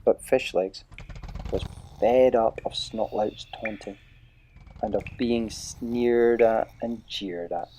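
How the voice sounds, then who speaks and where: A man narrating a children's adventure story in a measured, dramatic way, dropping to a hush at tense moments. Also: on the low side, vocal fry, slight background noise, poor audio. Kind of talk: audiobook-style storybook narration.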